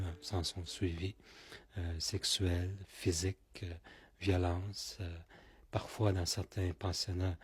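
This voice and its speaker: A man whose first language is Cree, giving slow, halting testimony as a survivor. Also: sits low, darkish tone. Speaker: residential school survivor.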